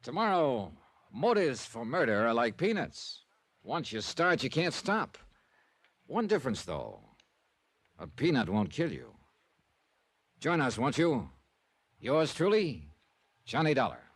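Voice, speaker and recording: An actor playing a wry, hard-boiled American insurance investigator, narrating an old-time radio detective drama in clipped, dramatic phrases. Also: male voice, medium pitch, wildly swooping pitch, unhurried, long pauses, husky, no room sound, full character voice. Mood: teasing, dramatic.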